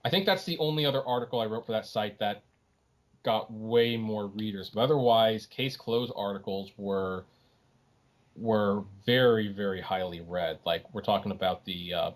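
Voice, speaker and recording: A man's voice: lowish voice, normal speed. A middle-aged man in a casual, opinionated podcast conversation, making his points emphatically with some exasperation. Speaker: podcast guest, anime writer.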